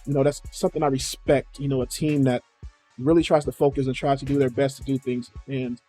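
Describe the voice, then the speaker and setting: A man with a mellow, mid-pitched voice hosts a reaction video in casual, slangy talk. He swears freely, and faint music plays behind him. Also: flat delivery, brisk. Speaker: reaction video host, animator. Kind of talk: casual chat, reacting to a game trailer.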